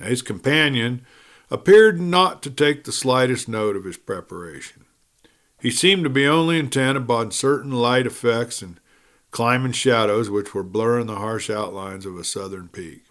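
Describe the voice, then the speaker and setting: A man's voice: medium pitch, expressive, moderate pace, a bit bright. A man reading a western story aloud in a folksy storytelling manner, with rustic wording. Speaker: audiobook reader.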